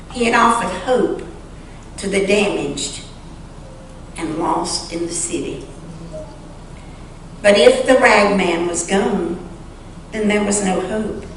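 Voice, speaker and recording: A woman reading a story aloud in church in a solemn, dramatic style, with deliberate phrasing and pauses. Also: medium pitch. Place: large church sanctuary. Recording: echoey church hall, distant mic, faint noise.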